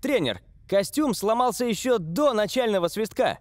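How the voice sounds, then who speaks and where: A man voicing an upbeat, loyal young football star in a cartoon dub, confident and energetic. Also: high voice, normal speed, dry recording. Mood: confident, frank.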